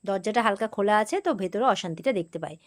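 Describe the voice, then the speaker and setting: A woman's voice: medium pitch, lively intonation, fast talker. A woman hosting an informal, chatty serial recap, retelling scenes and quoting the dialogue as she goes. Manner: chatty, with frequent quoted back-and-forth. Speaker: host of a TV serial recap channel.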